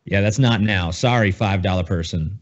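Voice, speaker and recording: A man's voice: low voice, moderate pace, dull audio. A man co-hosting a podcast in casual, profane banter with a sarcastic, mock-grandiose manner. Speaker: podcast co-host.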